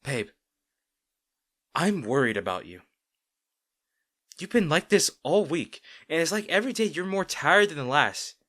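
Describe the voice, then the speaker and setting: A man's voice: a little high, sing-song, unhurried, pauses often, pushed and bright, full character voice. A man playing a caring, worried boyfriend in an intimate roleplay audio drama, earnest and pleading. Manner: earnest, pleading, emphatic, with sighs.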